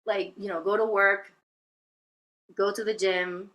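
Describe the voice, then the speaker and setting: A woman's voice: medium pitch, flat delivery, measured pace, fairly bright tone, dull audio. A woman from Venezuela, a guest telling her life story in an interview, hesitant with many fillers and restarts. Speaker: guest, spiritual healer. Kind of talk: reflective personal interview talk.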